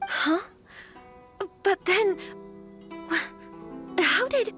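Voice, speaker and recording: A woman's voice: very high-pitched, animated, extremely slow, bright, forceful tone, exaggerated voice. An actress voicing an earnest young noblewoman in a video game, speaking politely and formally with some hesitation. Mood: puzzled. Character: earnest young noblewoman, troubled bride-to-be, polite clan leader. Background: music.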